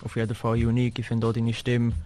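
A man's voice: mid-range voice, completely flat delivery, quick pace, warm tone, soft background music. A man with a Swiss German accent hosting a casual radio interview, speaking informally with English slang mixed in.

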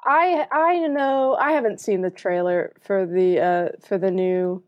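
A woman's voice: medium pitch, unhurried, highs cut off. A young American woman and film student, talking casually on a podcast with frequent hesitations and restarted phrases. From United States. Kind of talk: casual chat.

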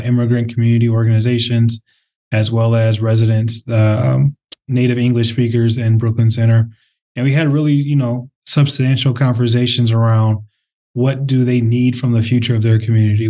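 A man from the Twin Cities, a company head, answering interview questions in a thoughtful, conversational way with frequent pauses and fillers. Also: medium pitch, mellow. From Minnesota, Twin Cities. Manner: thoughtful, many fillers and restarts. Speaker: real estate CEO, interview guest.

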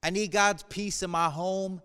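A man in his thirties with a smooth, somewhat high voice speaks earnestly to a congregation, easing from light humor into sober reflection. His voice rings with a long echo from the room. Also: fairly even intonation, a little slow, pushed and bright, soft background music. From United States. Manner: earnest, shifts between playful humor and somber reflection. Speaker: church speaker. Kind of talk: video message to a congregation. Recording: reverberant room.

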